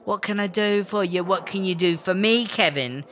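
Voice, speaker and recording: A man's voice: high voice, normal speed, a bit bright, slight background noise. A man playing a jealous, exasperated inmate in a comedic audio drama, gossipy and sardonic. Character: jealous, exasperated prison inmate pining for comforts.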